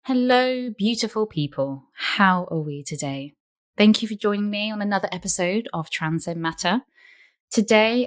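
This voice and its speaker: A woman hosts her own podcast in a low, slightly croaky voice left over from an illness. She speaks with gushing warmth and stretches out her phrases. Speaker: podcast host. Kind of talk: scripted intro, then casual interview chat.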